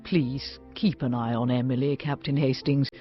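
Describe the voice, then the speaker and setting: An actress playing a blunt, loyal, middle-aged British woman in a period radio drama, with a husky voice and a forthright, emphatic manner. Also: a bass voice, steady tone, normal speed, dull audio, soft background music. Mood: earnest. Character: blunt, loyal, outspoken woman companion of a country house.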